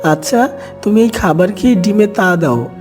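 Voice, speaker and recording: A cartoon father duck acted by a man, pitched up well above normal talk. He is careful and protective, a worried husband guarding his family, with light music underneath. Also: animated, moderate pace. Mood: reassuring.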